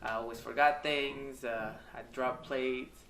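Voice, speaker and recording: Halting man in an echoey room. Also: medium pitch, steady tone, a bit bright, slight background noise. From Mexico. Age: 30s. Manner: hesitant, halting, with frequent ums and uhs. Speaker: storyteller sharing his life. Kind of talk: candid personal testimonial. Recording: echoey room, mic at a distance.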